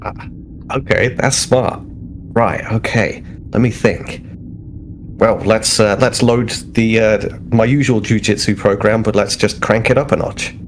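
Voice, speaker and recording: A man's voice: on the low side, fairly even intonation, a little slow. A man voicing a cocky, eager starship security officer in a tabletop game, speaking casually and repeating himself. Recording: clear background noise.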